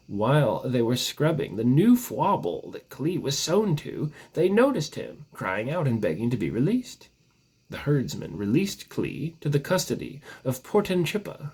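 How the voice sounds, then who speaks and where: Husky man reading with very lively pitch. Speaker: storyteller reading aloud. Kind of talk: amateur storybook narration of a mock legend.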